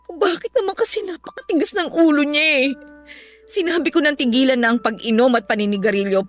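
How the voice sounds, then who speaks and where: A woman's voice: high-pitched, a bit bright. An actress playing a grieving young widowed mother, plaintive and emotional, in a Filipino radio love-story drama. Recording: music bed under the voice.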